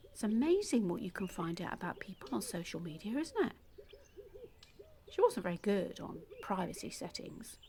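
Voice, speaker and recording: A woman acting an intimate audio-play monologue as a calm, obsessive, secretly dangerous woman, confiding in a measured, often hushed and breathy way. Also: mid-range voice. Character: calm, obsessive, delusional woman abandoned as a baby, secretly murderous. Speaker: actor in a monologue.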